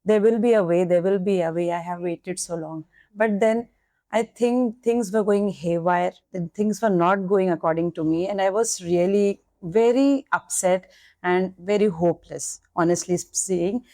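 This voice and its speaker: A woman's voice: fairly low pitch, a little slow, mellow, no room sound. A young woman with an Indian English accent, a nursing candidate answering podcast questions candidly and slipping into Hindi now and then.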